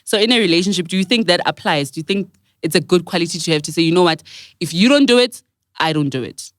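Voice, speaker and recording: Podcast panelist, woman, lower voice, asks probing questions, dry close mic. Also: lively intonation, fast talker, no echo. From South Africa. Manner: prompting, questioning. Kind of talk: casual chat.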